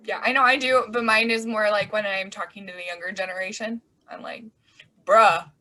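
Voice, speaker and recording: A woman with a bright, sharp voice co-hosts a casual podcast chat. She talks frankly and jokes, with curse-laced asides. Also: mid-range voice, fairly even intonation, moderate pace. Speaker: podcast co-host, doctor. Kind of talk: casual conversation.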